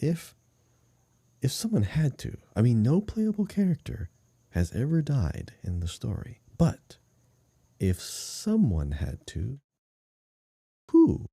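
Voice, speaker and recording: A man's voice: medium pitch, vocal fry, mellow, slightly rough, no echo, clean studio sound. A man co-hosting a podcast, chatting casually about a video game in an enthusiastic, emphatic way. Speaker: podcast co-host.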